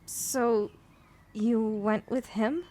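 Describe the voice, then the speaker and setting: A woman's voice: fairly high pitch, fairly even intonation, very slow, dark tone. A woman playing a loyal, protective figure caught up in a frightening adventure, earnest and emotional, in a sci-fi audio drama. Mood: relieved, moved.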